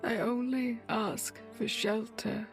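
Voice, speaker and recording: Very slow, weary witch. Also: fairly high pitch, flat delivery, gravelly, faint music behind. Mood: weary, grave. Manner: slow, ominous, theatrical storytelling. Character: weary, dread-laden mystic witch in rags. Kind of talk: audio drama acting.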